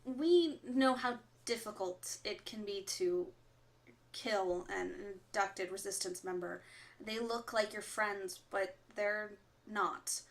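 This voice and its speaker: A woman playing a composed resistance announcer who relays orders in a measured, formal way on a fictional radio broadcast in an audio drama. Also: medium pitch. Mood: stern.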